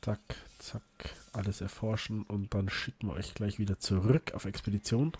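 A man who is a bit hoarse from illness, chatting casually and rambling as he hosts a let's play, with lots of fillers and restarts. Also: on the low side, fairly even intonation, moderate pace, darkish tone, faint music behind. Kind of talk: casual gameplay commentary.

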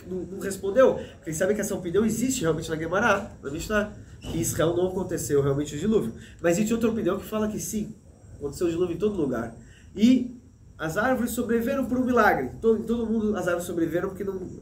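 A man in his thirties teaching a Torah lesson in an animated way, gesturing and restarting often, recorded indoors on a desk mic. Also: high-pitched, echoey room, a little hiss or hum. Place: small room with a plain wall, indoors. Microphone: desk mic in front, medium framing.

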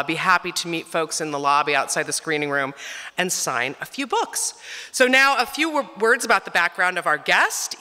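A woman's voice: fairly low pitch, sharp tone. A middle-aged woman hosting an event, introducing a guest from a lectern microphone and reading from notes with small fillers. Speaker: event host. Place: auditorium stage at a lectern. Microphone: podium microphone. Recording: reverberant auditorium.

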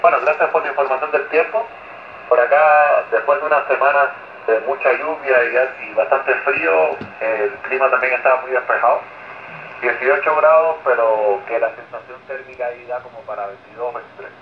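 A man's voice: mid-range voice, moderate pace. A courteous man, speaking over a radio transmission in a casual ham contact with call signs. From Chile. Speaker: amateur radio operator. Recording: noisy, narrow, echoing shortwave radio reception.

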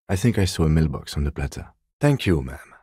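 An actor playing a composed middle-aged police detective in a video game, speaking in a measured, formal, dryly polite way. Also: male voice, rumbling low, very animated, warm tone, breathy edge, no room sound, clean studio sound, heavily acted. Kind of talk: dramatic game dialogue, separate voice lines.

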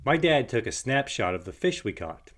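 A man narrating a picture book aloud for young children in an expressive storytelling manner. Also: mid-range voice, moderate pace.

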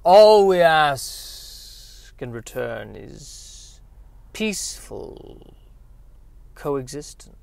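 A man's voice: on the high side, very animated, gravelly, darkish tone, heavily acted. A man voicing a grandiose, menacing alien villain with careful, theatrical pronunciation in a sci-fi radio play. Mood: smooth persuasion. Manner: slow, carefully pronounced, theatrical. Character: grandiose alien villain, articulate, menacing invader offering utopia. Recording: noisy recording.

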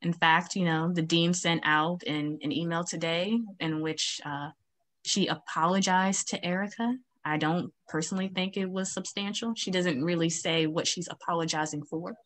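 A woman's voice: fairly low pitch, fairly even intonation, moderate pace, fairly bright tone, slight background noise. A young woman student activist speaking earnestly on a video call, with many fillers. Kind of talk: town hall video call. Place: home, virtual beach background.

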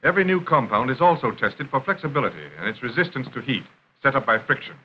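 An American man reading scripted narration for a 1930s industrial film in a formal, measured announcer style. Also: medium pitch, lively intonation. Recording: dull, noisy 1930s film soundtrack.

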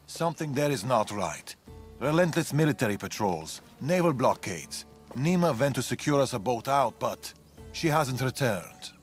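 A hunted man of ancient Greece, played by an actor, talks in a grave, guarded way, as one who trusts no place. His mid-range voice is deliberate and world-weary. It is video game dialogue with music playing behind it. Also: medium pitch, big pitch swings, close to an everyday voice. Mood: grave, wary. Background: background music.

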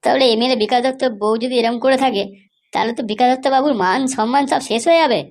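A man's voice: very high voice, quick pace. A man voicing a gossipy, foul-mouthed sidekick in a comedy cartoon. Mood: gossipy, scandalized. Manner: gossipy, crude.

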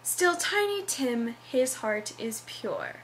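A woman's voice: a little high, sing-song, slow, a little hiss or hum, poor audio. A young woman playing an earnest, over-dramatic narrator, declaiming verse from a Christmas stage show. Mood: solemnly touched. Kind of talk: dramatic reading.

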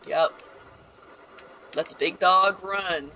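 A woman narrates a game walkthrough in a loose, chatty way, wandering off on asides and filling pauses with ums. Her voice is bright and forward, with a faint hiss of noise under it. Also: lowish voice, dull audio. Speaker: video game tour guide. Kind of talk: casual gameplay voice-over tour.

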